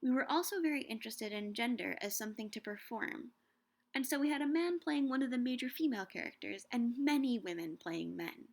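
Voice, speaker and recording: A young woman giving a scripted, measured talk on Shakespeare and books, slowing down to savor quoted lines. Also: fairly high pitch, animated, gravelly. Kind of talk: scripted educational narration.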